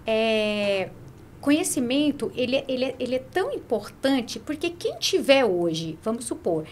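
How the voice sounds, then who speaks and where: Business guest: woman, middle-aged, Brazilian accent, slightly high voice, emphatic repetition, some noise behind her. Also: sing-song, unhurried. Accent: Brazilian Portuguese. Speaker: podcast guest, business owner. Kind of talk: conversational interview. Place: small podcast studio with curtains, indoors. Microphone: close studio mic on boom arm.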